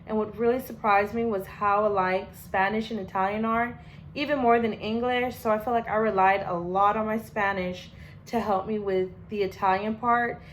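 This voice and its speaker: A woman's voice: medium pitch, steady tone, normal speed, a little hiss or hum, poor audio. A young adult woman, a language student, gives a casual spoken class presentation in a chatty way, full of fillers and restarts.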